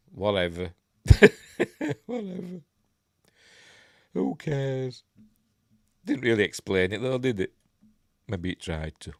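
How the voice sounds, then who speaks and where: A man's voice: fairly low pitch, no echo, studio quality. Congested Yorkshire man reading geek news. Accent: Yorkshire English. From Yorkshire, England. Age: older. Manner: reads articles aloud with wry, blunt off-the-cuff asides. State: congested, stuffy nose. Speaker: host of a geek news stream. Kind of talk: casual live-stream news reading with asides.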